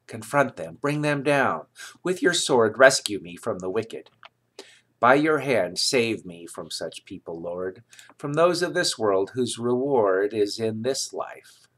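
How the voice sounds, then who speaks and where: A man reading scripture aloud in a solemn, deliberate manner, with measured phrases and pauses. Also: lowish voice, fairly bright tone. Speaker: scripture reader.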